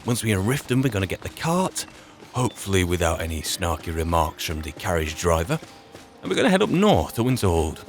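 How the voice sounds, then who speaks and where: A man roleplaying a musing, wry adventurer in a fantasy game, speaking in a halting, dry, reflective way. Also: low-pitched, big pitch swings, soft background music. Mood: musing, planning. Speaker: player narrating a roleplay. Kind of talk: in-character game roleplay monologue.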